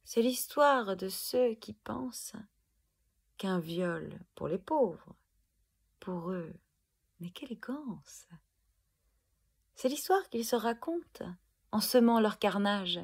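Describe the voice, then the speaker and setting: Breathy, slow-spoken woman reciting poetry. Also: medium pitch. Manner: deliberate, emphatic, dramatic phrasing with pauses. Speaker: poet performing own protest poem.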